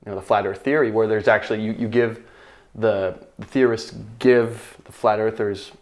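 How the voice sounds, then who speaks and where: A man's voice: lowish voice, fairly even intonation, normal speed, a little mellow. Danish man, reflective podcast guest. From Denmark. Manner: reflective, searching for words, laughs easily. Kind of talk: casual conversation.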